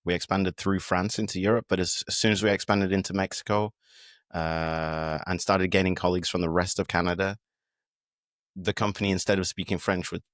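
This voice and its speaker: A man's voice: low voice, a bit bright, no echo, clean studio sound. A man from the United Kingdom, a podcast guest, talking in a reflective, casual way with fillers and restarts. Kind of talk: casual conversation.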